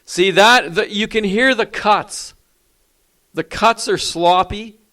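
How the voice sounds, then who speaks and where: Brisk, dismissive call-in show host. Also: male voice, high voice, sharp tone. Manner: brisk, dry, dismissive of prank callers. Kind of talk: live call-in show hosting.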